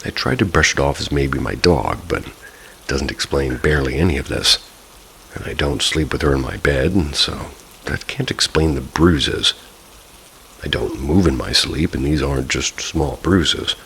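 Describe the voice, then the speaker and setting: Very deep, gravelly male storyteller over rain. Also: moderate pace, slight background noise, low-quality recording. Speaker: narrator reading listener-submitted ghost stories. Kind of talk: scripted first-person story narration for sleep. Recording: steady rain ambience behind the voice. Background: rain falling.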